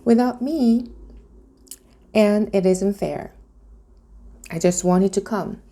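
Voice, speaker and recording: A woman's voice: medium pitch, slow, dark tone, a little hiss or hum. A woman voicing a small young male dragon, chatty, needy and eager, in a chirpy, pleading manner for a storybook. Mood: pouty.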